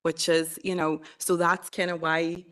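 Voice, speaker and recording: Northern Irish woman, 40s, charity advocate, forthright, low level delivery. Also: little pitch movement, a little slow, a bit bright. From Northern Ireland. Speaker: charity representative. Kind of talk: addressing a council committee.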